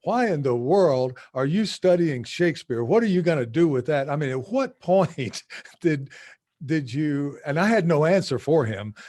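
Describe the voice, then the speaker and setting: A man's voice: a little high, normal speed. Rambling male host from the American South. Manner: rambling, animated questions. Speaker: podcast host. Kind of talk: conversational interview.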